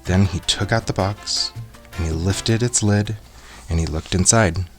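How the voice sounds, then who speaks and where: Deep-voiced man reading a picture book. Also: measured pace, faint music behind. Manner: expressive, acts out character voices. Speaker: storyteller. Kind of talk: children's picture book read-aloud.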